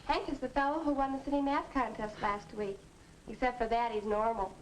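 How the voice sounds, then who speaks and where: A woman's voice: a little high, fairly even intonation, fairly quick, close to an everyday voice. A young woman playing a friendly, chirpy teenage girl in a 1950s educational film. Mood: chirpy, sociable. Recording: faintly noisy old film soundtrack.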